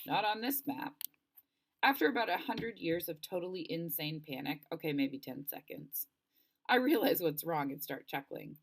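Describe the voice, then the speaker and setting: A woman narrating a children's novel aloud in an expressive storybook style, acting out the dialogue. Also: fairly low pitch, vocal fry. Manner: animated, acts out dialogue.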